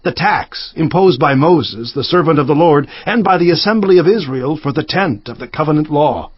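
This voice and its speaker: Stern, husky-voiced biblical king. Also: fairly high pitch, lively intonation, normal speed, lo-fi sound. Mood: stern, urgent. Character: commanding Judean king, stern and impatient. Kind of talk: dramatized scripture acting.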